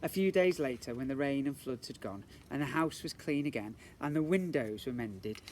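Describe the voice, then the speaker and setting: A man's voice: mid-range voice, expressive, normal speed, a little mellow. A man, a teacher, reading a storybook aloud to young children outdoors, playfully adding questions and asides. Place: outdoors in a garden. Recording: faint outdoor background noise.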